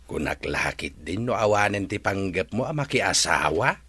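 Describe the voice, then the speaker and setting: A man playing a caring, inquisitive middle-aged father in an audio drama, speaking slowly and emphatically. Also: low voice, expressive, pushed and bright, breathy edge, no echo, heavily acted. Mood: surprised, probing. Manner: slow, emphatic.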